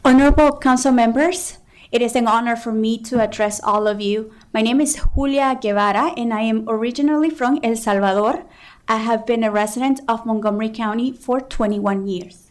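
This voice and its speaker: A woman working as an interpreter at a council meeting, rendering testimony in a steady, formal way with occasional fillers. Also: fairly high pitch, a little fast. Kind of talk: consecutive interpretation. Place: county council chamber.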